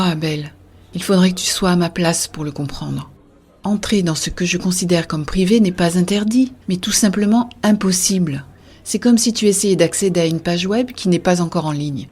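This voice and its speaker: A woman playing an earnest, idealistic woman in a French science fiction audio drama, speaking softly and reflectively. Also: lowish voice, breathy edge. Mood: earnest, explaining.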